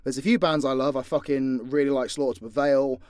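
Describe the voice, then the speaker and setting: A man's voice: mid-range voice, fairly even intonation, a little fast, darkish tone, clean tone, no room sound, studio quality. A British man in a casual, unscripted Q&A as an audio creator, talking bluntly and swearing freely. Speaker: audio drama creator answering fan questions.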